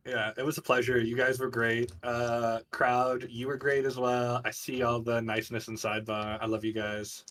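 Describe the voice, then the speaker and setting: A man's voice: medium pitch, little pitch movement, moderate pace, hardly any pauses, a bit bright. A man working as game master, narrating a tabletop fantasy game for a live stream.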